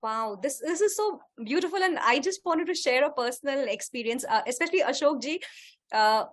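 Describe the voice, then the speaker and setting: A high-voiced woman from Kashmir moderates an online course discussion. She is a native Kashmiri speaker. She talks in an effusive, personal way and speaks warmly to the other participants. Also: lively intonation, brisk.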